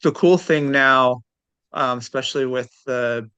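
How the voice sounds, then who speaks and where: A man's voice: mid-range voice, measured pace, bright, forceful tone, smooth, no echo, muffled recording. A man speaking as an interview guest in casual, unscripted conversation, hesitant and thoughtful as he hedges his points. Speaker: interview guest, transplant recipient.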